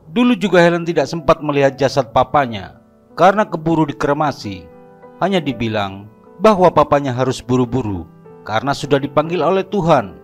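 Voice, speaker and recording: A man's voice: fairly high pitch, lively intonation, brisk, faint music behind. A man narrating a scripted horror story.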